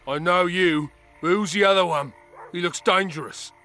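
An actor playing a suspicious, officious gate guard in a video game, brusque and challenging. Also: male voice, high voice, moderate pace, bright, forceful tone, faint music behind. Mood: wary.

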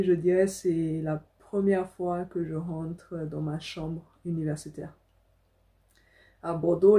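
Mellow-voiced young woman vlogging. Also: lowish voice. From Africa. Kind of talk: casual personal storytelling to camera. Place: small furnished room indoors.

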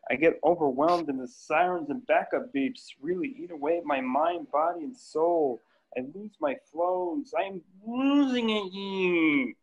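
A man's voice: a little high, expressive, measured pace. A man reading the part of an overwhelmed, grieving widower at a sitcom table read over a video call. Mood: agitated, overwhelmed. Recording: muffled video call audio.

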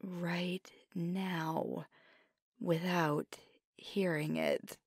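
A woman voicing a fiery, stubborn werewolf girlfriend in a whispered ASMR roleplay, slow and teasing with small laughs. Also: lowish voice, steady tone, breathy edge, no room sound, studio quality, exaggerated voice. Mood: defiant teasing. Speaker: voice actor.

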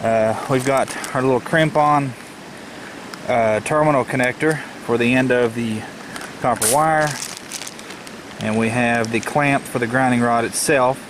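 A man's voice: mid-range voice, fairly even intonation, a little slow. A man giving a casual, unscripted hands-on tutorial, thinking aloud as he works outdoors. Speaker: DIY vlogger. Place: outdoors in a rural yard. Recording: noisy outdoor camcorder audio. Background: clear outdoor ambient noise.

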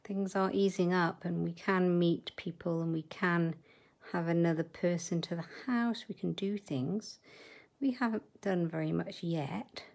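A woman's voice: lowish voice, fairly even intonation, unhurried, creaky, a little mellow. A middle-aged woman hosting a live crafting demo from her desk at home, chatting with viewers and muttering asides as she works. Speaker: papercraft host.

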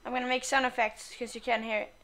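A woman's voice: fairly high pitch, flat delivery, quick pace, sharp tone. A woman giving casual, irreverent video game commentary, playfully muttering asides as she plays.

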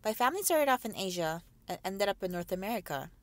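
A woman's voice: mid-range voice, very animated, quick pace, no echo. A woman in her twenties, born in South Korea, reading a scripted narration of her family's immigration story. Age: 20s. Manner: reads a prepared script steadily.

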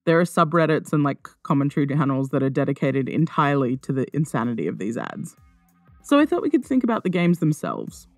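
A woman's voice: low voice, very animated, brisk, a little mellow, no room sound, faint music behind. Wry woman podcaster, dry close sound. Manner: wry, self-deprecating, swears casually. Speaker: podcast host. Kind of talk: casual conversational solo podcast monologue. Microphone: close podcast microphone.

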